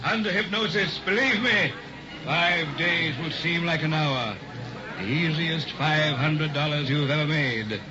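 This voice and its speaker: An actor playing a sinister carnival hypnotist. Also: male voice, on the high side, a little slow, a crowd in the background, lightly acted. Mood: showman pitch. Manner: theatrical barker, menacing. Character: sinister carnival hypnotist professor, smooth showman. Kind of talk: old-time radio drama acting. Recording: dull old radio recording with music.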